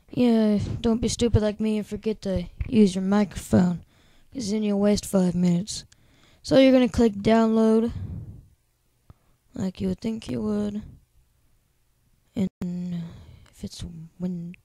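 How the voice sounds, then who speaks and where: A man with a high-pitched, squeaky voice, casually talking through a software tutorial in a rambling, chatty way full of filler words. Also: creaky, no room sound. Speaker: tutorial host. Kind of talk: casual screen-recording tutorial.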